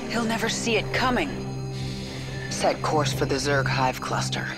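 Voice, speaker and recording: A woman's voice: deep voice, lively intonation, a little slow. A woman playing a fierce, determined female commander in a video game, terse and intense. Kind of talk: scripted game voice acting. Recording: loud music behind.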